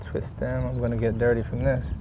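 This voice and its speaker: A man's voice: medium pitch, level tone, a little fast, very noisy. A man narrating a hands-on DIY job casually as he works, thinking aloud in short, plain, unscripted remarks. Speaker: DIY vlogger demonstrating a vehicle repair. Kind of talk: casual hands-on how-to narration while working.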